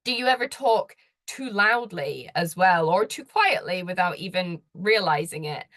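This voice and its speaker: A woman's voice: lowish voice, animated, a little slow, no room sound, clean studio sound. A woman presenting slides, talking earnestly in a conversational way and putting questions straight to the listener. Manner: gets louder when enthusiastic. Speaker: presenter giving advice.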